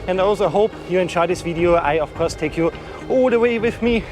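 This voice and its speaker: A man with a strong Austrian accent chatting casually to the camera in an excited way, outdoors on a riverside bike path. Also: high voice, fairly quick. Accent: Austrian German. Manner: excited, chatty, talking while out cycling. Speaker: travel vlogger. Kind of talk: casual on-the-road vlog talk. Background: loud music.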